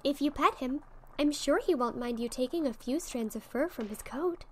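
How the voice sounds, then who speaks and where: A woman voicing an encouraging young witch friend in a soft, patient ASMR roleplay, with fizzing, bubbling effects. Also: high voice, normal speed, slight background noise. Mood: helpful, friendly. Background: fizzing, bubbling sound effects.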